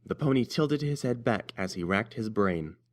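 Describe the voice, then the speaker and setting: A man's voice: medium pitch, animated, moderate pace. A man narrating a scripted fan-fiction story as an audiobook reader.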